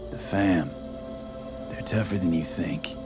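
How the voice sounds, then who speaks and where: An actor playing a calm, devoted male mercenary in a video game, gravelly, terse and reassuring. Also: deep voice, slow. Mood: tender, reassuring. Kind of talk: intimate video game acting. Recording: music behind the voice.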